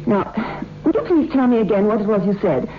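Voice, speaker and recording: A woman acting a resolute, businesslike newspaper reporter in a radio thriller, with very lively intonation. The vintage recording is muffled and clearly noisy. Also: mid-range voice, brisk, lightly acted. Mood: urgent.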